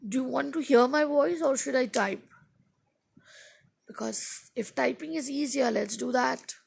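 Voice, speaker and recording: Woman teacher on a live stream, kinda high voice, raspy and husky, talks slow and keeps rewording stuff, voice a bit off today. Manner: slow, halting, stops to rephrase her own sentences. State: voice not at its best. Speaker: English teacher. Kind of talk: casual live stream chat with viewers.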